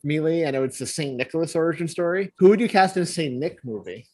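A man's voice: a little high, animated, fast, darkish tone, slightly rough. A man hosting a podcast in a casual, chatty group conversation. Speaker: podcast host.

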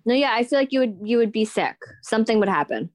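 Blunt, higher-pitched woman bantering. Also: expressive, fast talker, a little mellow. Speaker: podcast co-host. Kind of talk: casual chat.

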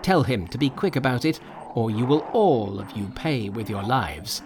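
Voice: mid-range voice, expressive, moderate pace, noisy background, natural voice. A narrator of unclear sex, voicing a pompous, menacing warrior spirit making imperious threats in a storybook tale. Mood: threatening. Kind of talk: dramatised storybook reading.

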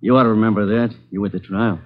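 An actor playing a bitter ex-convict fresh out of prison, talking low and brooding with hidden resentment, in a 1940s radio drama. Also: male voice, steady tone, racing, natural voice. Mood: sullen. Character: bitter ex-convict man, ten years in prison. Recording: dull 1940s radio broadcast.

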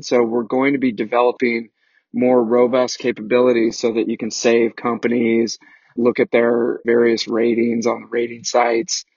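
Man, casual energetic promo read, dry dull lo-fi sound. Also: medium pitch, slightly rough, dry recording. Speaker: show promoter. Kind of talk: promotional announcement.

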